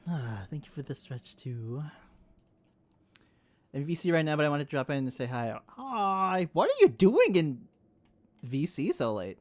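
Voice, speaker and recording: A man's voice: fairly high pitch, big pitch swings, a little slow, long pauses. A man chatting casually and reacting expressively as he streams a game.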